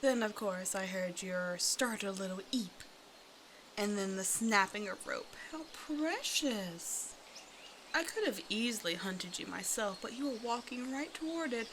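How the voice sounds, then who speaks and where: A woman's voice: medium pitch, a bit bright. Smug, teasing snake woman, acted by a woman, noisy backdrop. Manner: teasing, condescending, mock-sweet pet names. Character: hungry female naga, half snake, teasing, smug, playfully predatory. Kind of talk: ASMR roleplay audio drama, addressing the listener.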